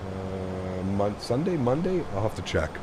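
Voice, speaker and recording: A man with a deep voice, hoarse from being sick, casually chatting with viewers on a live stream while flying. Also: a little slow, background noise. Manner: relaxed, thinks aloud, reads and answers chat. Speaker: flight sim streamer.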